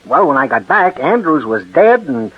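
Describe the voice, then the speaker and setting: A nervous American male bystander with a high, swooping voice and a halting manner. The delivery is husky 1940s radio crime acting on a muffled old broadcast. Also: big pitch swings. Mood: hesitant. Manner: hesitant, halting. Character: nervous, hesitant male bystander, a murder witness at the police station. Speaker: radio actor.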